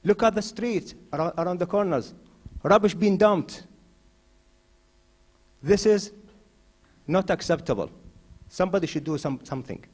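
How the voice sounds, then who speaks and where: A man originally from Iraq, standing as a candidate and giving a forceful hustings speech in a mosque hall, with frequent "uh" fillers as he builds to emphatic points. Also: sits high, normal speed, pauses often. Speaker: election candidate.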